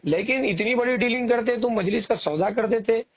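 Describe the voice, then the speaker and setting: A man with a notably high voice and a Marathwada Dakhni accent argues his case on a dull-sounding phone recording. He is indignant and insistent, circling back to repeat his points in informal speech. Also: a little fast. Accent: Marathwada Dakhni Hindi-Urdu. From Parbhani, Maharashtra, India. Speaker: election candidate and party worker. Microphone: phone call. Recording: muffled recorded phone call.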